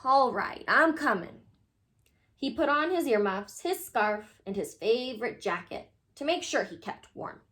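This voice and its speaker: A woman storyteller reads a children's book aloud. Her voice sits a bit high and moves up and down a great deal, giving the narration an expressive shape. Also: normal speed, hardly any pauses.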